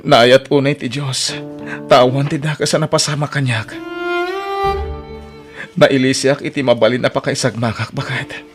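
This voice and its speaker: A man's voice: medium pitch, steady tone, moderate pace, pauses often, natural voice. A man acting in an Ilocano audio drama as a troubled, jobless husband who drinks, weary and full of excuses, with music behind him. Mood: earnest, repentant.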